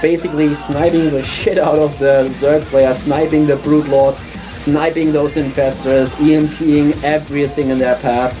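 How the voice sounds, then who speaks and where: A man's voice: a little high, little pitch movement, moderate pace, hardly any pauses, music in the background, low-quality recording. A man from Germany with a German accent, casting an esports stream on his own in a small studio, chatty with many fillers and restarts. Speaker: esports caster. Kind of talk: solo esports commentary.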